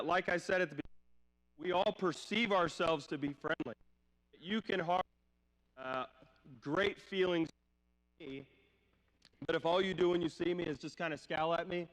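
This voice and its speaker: A man preaches in a fairly high voice that rings through a big, echoing hall. He speaks informally, like a conversation with the congregation, putting rhetorical questions to them. A lingering cough leaves his voice slightly off. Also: fairly bright tone. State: slight cough, voice a little off from a cold. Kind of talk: conversational sermon. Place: church hall during a live-streamed service. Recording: long hall reverb, live church stream.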